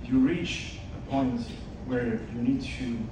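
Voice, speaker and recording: A man gives an earnest motivational talk and speaks straight to the crowd. His voice sits in the middle range. It carries from away from the mic through a big, echoing hall with a steady hiss behind it. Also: mid-range voice, takes it slowly. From Switzerland. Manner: earnest storytelling, direct address to audience. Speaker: speaker at an event, podcast host. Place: large event hall. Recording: distant echoey hall with noise.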